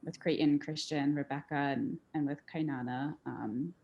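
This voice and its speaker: A woman's voice: low-pitched, normal speed, creaky, dull audio. A young woman from New Jersey giving an informal introduction as a program coordinator on a panel. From New Jersey, United States.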